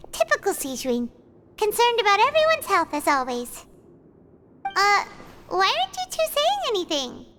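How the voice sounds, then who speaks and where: A woman voicing a small, chirpy, childlike fairy companion in a video game, very expressive. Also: very high-pitched, unhurried, noisy background, exaggerated voice. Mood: teasing, puzzled. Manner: speaks of herself in third person, expressive.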